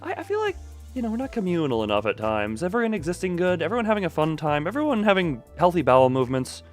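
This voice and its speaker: A man's voice: fairly high pitch, huge pitch swings, fast, soft background music. A man doing a casual, rambling game stream, playfully joking and talking back to his viewers.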